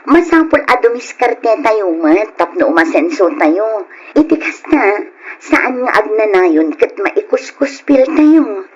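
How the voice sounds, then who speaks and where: A woman's voice: mid-range voice, sing-song, slow pace, breathy edge, muffled recording, full character voice. A woman playing a proud, resentful, ambitious daughter in an Ilocano radio drama, speaking with emphatic defiance. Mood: defensive.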